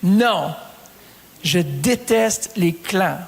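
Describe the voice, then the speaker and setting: A man's voice: high-pitched, animated, drawn-out words, gravelly, long reverb tail. A man, preaching a sermon as pastor, calling out to the congregation with rising emphasis. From Canada.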